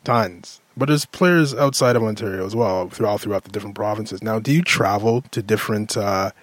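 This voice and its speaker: Low-voiced man asking rambling questions. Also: brisk, no echo. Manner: searching, piles up alternatives in his questions. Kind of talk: casual radio interview.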